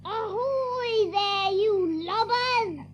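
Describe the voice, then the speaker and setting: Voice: extremely high pitch, very slow, fairly bright tone, slight background noise, exaggerated voice. A performer playing a bold, mischievous young boy who leads others in an audiobook drama. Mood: gruff commanding bluster.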